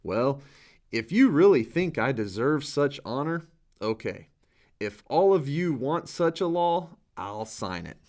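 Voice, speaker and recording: Man acting a proud, slow-spoken Persian king. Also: mid-range voice, sing-song, a little mellow, voice pushed up. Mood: flattered. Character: proud, regretful Persian king, commanding and easily flattered.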